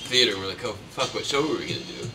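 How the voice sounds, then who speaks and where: A man chatting casually and haltingly in an interview as the creator of a puppet show. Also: fairly low pitch, expressive, sharp tone. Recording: distant mic, music in background.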